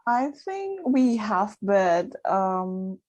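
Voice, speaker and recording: A young woman, a student, speaking English hesitantly with pauses as she interviews a teacher. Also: mid-range voice, sing-song, darkish tone. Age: young adult. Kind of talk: interview questions.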